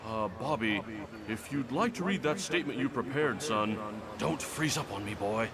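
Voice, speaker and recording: A man's voice: mid-range voice, animated, moderate pace, a crowd in the background, lightly acted. A man playing a middle-aged American senator in a motion comic, folksy, oily and patronizing. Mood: angry, threatening. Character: folksy, manipulative middle-aged male senator, bullying beneath charm.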